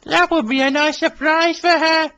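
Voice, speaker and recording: A man voicing an eager, polite young male tank engine in a storybook, with a high, keen voice. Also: fairly even intonation, moderate pace, pushed and bright, lo-fi sound, full character voice. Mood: determined. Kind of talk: storybook reading.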